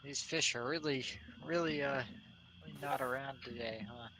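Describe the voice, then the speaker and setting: A man's voice: medium pitch, normal speed, noisy background. A man playing a tabletop game, cautious and asking the group questions. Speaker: player. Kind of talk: casual tabletop play.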